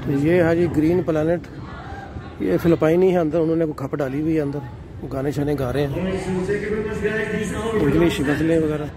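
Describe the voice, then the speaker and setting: A man's voice: fairly high pitch, level tone, normal speed, long pauses, crowd noise. A middle-aged man narrating a walking tour for his viewers, filming on a handheld phone outdoors at night. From Pakistan. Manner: addresses viewers as "nazreen", leisurely describing sights while walking. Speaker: travel vlogger. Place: city streets at night, outdoors. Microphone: handheld phone camera. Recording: outdoor traffic noise.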